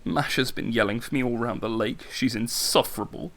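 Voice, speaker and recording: A man's voice: medium pitch, brisk, fairly bright tone, close to an everyday voice. A man acting as an anguished young writer in a radio play. He sounds insecure and impulsive, speaking with an urgent, agitated edge. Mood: irritated. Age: young adult. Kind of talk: theatrical audio drama acting.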